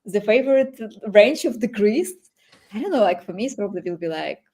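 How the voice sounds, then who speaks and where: A woman with a Ukrainian accent chatting casually as she hosts a live technical workshop, feeling her way through sentences in halting phrases. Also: mid-range voice, sing-song, dark tone, slightly rough. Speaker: workshop host.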